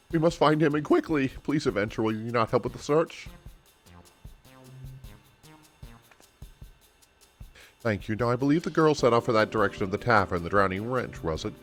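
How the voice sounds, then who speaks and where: A man's voice: medium pitch, pitched above the performer's own voice, lightly acted. Man playing a polite, formal fantasy game mascot keeper, earnest and anxious, music behind. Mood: alarmed. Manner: formal, old-fashioned politeness.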